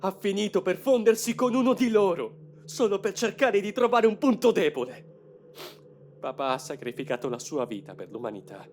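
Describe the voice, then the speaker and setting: A man's voice: sits high, expressive, normal speed, a little mellow, a little hiss or hum. A man voicing a grave, determined young man in a motion comic dub, with a solemn, explanatory delivery. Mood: somber. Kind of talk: dramatic motion comic dubbing. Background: music.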